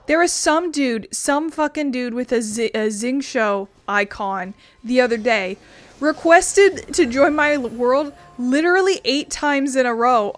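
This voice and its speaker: A woman's voice: on the high side, a bit bright. A woman giving casual let's play commentary as a gaming streamer, sweary and rambling.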